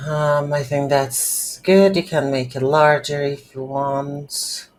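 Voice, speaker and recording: A woman hosting a casual game development tutorial, speaking haltingly as she thinks aloud while working. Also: deep voice, steady tone, darkish tone, a little hiss or hum. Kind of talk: casual screen-recorded software tutorial.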